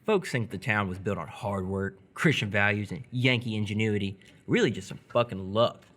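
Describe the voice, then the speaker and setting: Hesitant teenage boy with swinging pitch, acted by a man. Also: lively intonation, a bit bright, slight background noise. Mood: awkward, low-key. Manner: hesitant, halting, casual. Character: laconic 17-year-old boy cyclist, awkward, polite, dry-humored. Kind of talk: live radio theatre acting. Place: live stage performance before an audience.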